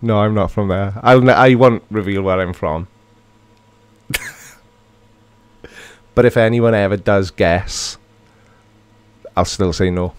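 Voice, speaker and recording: A man's voice: on the low side, takes it slowly, pauses often, clear, resonant tone, no room sound. A middle-aged man from Wales with a Welsh accent, casually chatting and reading viewer comments aloud on a livestream. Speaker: livestream host.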